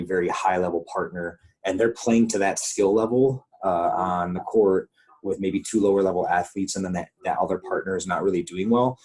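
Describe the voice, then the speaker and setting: A man with a husky voice leading a coaches' conference call, explaining guidelines in an informal, conversational way. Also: sits low, a little mellow, rough recording. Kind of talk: informal instructional presentation with questions.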